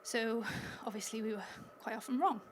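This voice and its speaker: A woman's voice: fairly high pitch, moderate pace, slight background noise. Chatty Welsh woman giving a conference talk. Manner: upbeat, chatty, laughs between points. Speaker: conference speaker. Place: conference hall stage.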